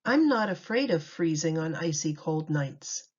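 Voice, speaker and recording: Lady doing a shy polite little boy turtle from a storybook, earnest, slow, slightly muffled. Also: low voice, expressive, a little mellow, slightly rough, pitched below the performer's own voice, full character voice. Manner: polite, pleading. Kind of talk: children's storybook read-aloud. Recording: dull lo-fi recording.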